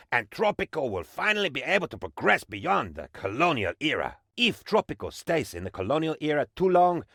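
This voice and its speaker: A devoted, fawning male advisor to a tropical strongman in a video game. His medium-pitched voice leaps around with theatrical, cheerful eagerness as he explains things to his leader. The sound is dry and studio-clean, with no room around it. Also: a bit bright, no echo. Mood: eager, rallying. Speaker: tutorial guide in a strategy game.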